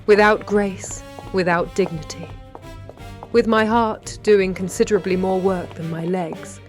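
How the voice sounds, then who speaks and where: An actress playing a wry, brave 1930s London lady detective, narrating in a measured, literary British voice. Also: mid-range voice, steady tone, soft background music, close to an everyday voice. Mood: tense, wry. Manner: measured, literary, dryly understated. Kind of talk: scripted first-person audio drama narration.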